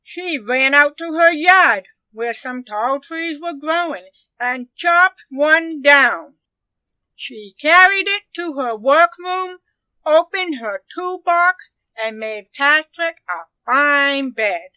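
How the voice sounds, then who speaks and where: Casting: female storybook reader, high bright voice, expressive and unhurried, dramatic phrasing. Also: no echo, poor audio. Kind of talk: expressive children's story narration.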